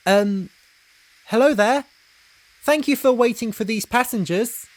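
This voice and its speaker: A man voicing a kind, motherly female steam engine in a storybook dub, earnest and expressive. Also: very high-pitched, unhurried, pitched above the performer's own voice. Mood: nervous politeness.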